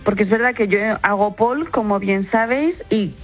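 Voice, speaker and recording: A woman's voice: mid-range voice, normal speed, husky, muffled recording, soft background music. A woman from Madrid with a Madrid accent, chatting casually in a studio and telling personal anecdotes. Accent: Madrid Spanish.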